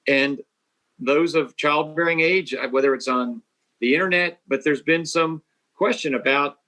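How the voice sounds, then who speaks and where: Guy from state health dept on a panel, bright crisp voice, talks policy, restarts a lot. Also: a little high, unhurried. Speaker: state public health official. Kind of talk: expert panel discussion.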